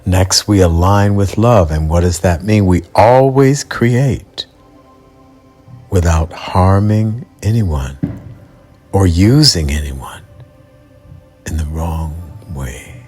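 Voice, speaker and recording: Man, very low voice, children's bedtime storyteller, deliberate pauses, music behind. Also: a little mellow, breathy edge. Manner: measured, deliberate, pausing mid-sentence. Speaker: bedtime storyteller and mindset coach. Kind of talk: slow guided bedtime narration for children. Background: background music.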